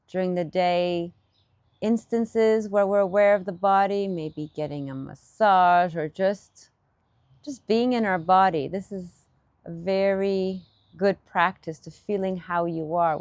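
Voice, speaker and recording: A woman leading a guided meditation as a teacher, giving gentle instruction in short, unhurried phrases with pauses between them. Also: lowish voice, dry recording.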